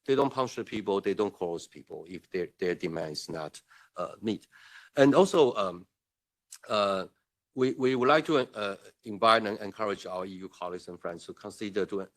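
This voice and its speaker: A man's voice: fairly low pitch, moderate pace. A man from Taiwan, speaking as a diplomatic representative at a conference, earnest and emphatic with frequent restarts. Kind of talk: conference speech. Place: conference room at a parliament.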